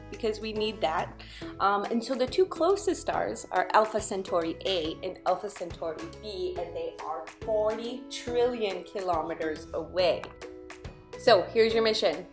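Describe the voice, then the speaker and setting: A woman's voice: mid-range voice, lively intonation, moderate pace, soft background music. A woman presenting to young children in the style of a lesson and storybook read-aloud, with a simple, guiding manner. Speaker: children's STEM teacher and presenter.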